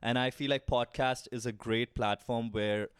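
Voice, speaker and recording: Smooth-voiced young man with an Indian English accent. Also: mid-range voice, fairly even intonation, moderate pace, dry recording, clean studio sound. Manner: fumbling, laughing, restarts often. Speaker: podcast co-host. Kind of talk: casual chat.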